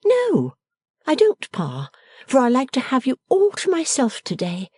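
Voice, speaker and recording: Woman as a teasing young daughter, merry and bossy, husky voice, animated. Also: sits high, a little slow, no echo, voice pushed up, full character voice. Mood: affectionate.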